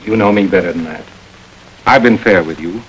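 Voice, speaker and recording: An actor playing a firm, businesslike district attorney in a 1940s radio drama. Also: male voice, sits low, close to an everyday voice, low-quality recording. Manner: measured, businesslike. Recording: hissy old radio recording.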